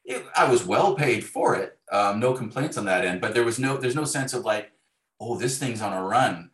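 A man's voice: fairly low pitch, animated, normal speed, a bit bright. A man speaking as an author in a casual, reflective interview, with a wry, self-deprecating manner. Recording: echoey room.

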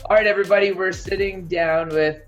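A man's voice: sits high, steady tone, brisk, dull audio, faint music behind. Rambling casual male podcast host. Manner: rambling, filler-heavy questions. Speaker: podcast host interviewing a guest. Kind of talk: casual interview chat.

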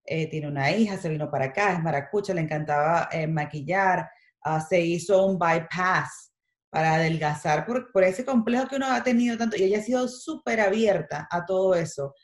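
A woman's voice: fairly low pitch, moderate pace, reverberant. A middle-aged woman from Venezuela with a Venezuelan Spanish accent, chatting animatedly as a guest and dropping in English words. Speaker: guest, makeup artist. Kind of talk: casual interview chat.

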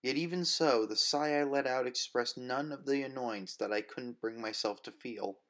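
A man narrating first-person fiction as an audiobook reader, with a measured, wistful, literary delivery. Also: medium pitch, a bit bright.

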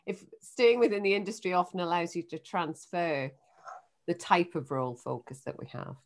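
A woman's voice: lowish voice, lively intonation. A woman hosting a leadership podcast, reading a polished introduction and then chatting with her guest. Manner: polished when reading, friendly and halting when improvising. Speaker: podcast host. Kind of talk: scripted intro, then casual interview.

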